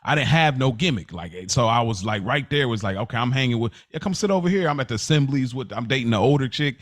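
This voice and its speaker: A man's voice: medium pitch, fast talker, no echo, clean studio sound. An American man hosting a podcast, chatting casually and telling stories in slangy speech, acting out remembered exchanges. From United States, Kentucky and Indiana. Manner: animated, slangy storyteller who acts out remembered conversations. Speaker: podcast host. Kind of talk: casual storytelling chat.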